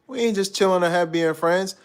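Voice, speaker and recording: A man's voice: sits high, a little fast, clear, resonant tone. A man from Guyana hosting a live stream, chatting loosely with his viewers in a slangy, rambling way and reading out their comments. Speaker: livestream host.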